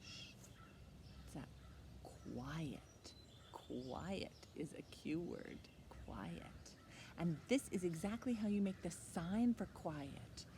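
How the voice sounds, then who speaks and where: A woman's voice: lowish voice, takes it slowly. A woman hosting storytime for young children, teaching in a sing-song way with simple questions and repeated key words. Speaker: children's library storytime host. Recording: clear steady background noise.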